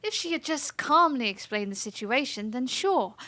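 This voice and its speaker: A woman's voice: high voice, expressive, moderate pace, bright, forceful tone, no room sound. A woman playing a proud, sulky young princess in a fantasy audio drama, with a formal and petulant way of talking. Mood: indignant, exasperated.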